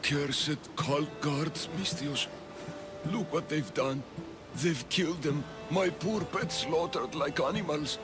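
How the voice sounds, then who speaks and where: Dying physician, pained, music behind. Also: male voice, on the high side, slow. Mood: grieving, bitter. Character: dying male physician, grieving his slain pets, pleading. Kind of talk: dramatic video game acting.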